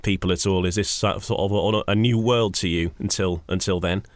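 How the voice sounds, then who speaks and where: A man hosting a casual radio interview, halting a little and repeating words as he forms his thoughts. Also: deep voice, steady tone, no room sound.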